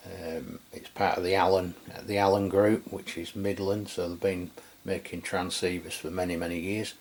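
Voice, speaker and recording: Low-voiced English man reviewing gear. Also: level tone, moderate pace, a little hiss or hum. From England. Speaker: radio reviewer, channel host. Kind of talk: unscripted product review walkthrough.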